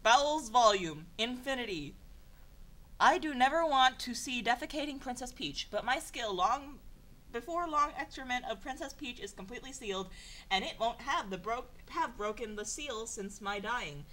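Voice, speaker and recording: Brief: young woman, fairly high, very lively pitch, deadpan comic reader who trips over garbled lines and snaps into sarcastic asides. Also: normal speed, poor audio. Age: young adult. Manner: deadpan reading broken by exasperated sarcastic asides; stumbles and self-corrects over garbled sentences. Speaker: channel host reading fan fiction. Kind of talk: comedic dramatic reading.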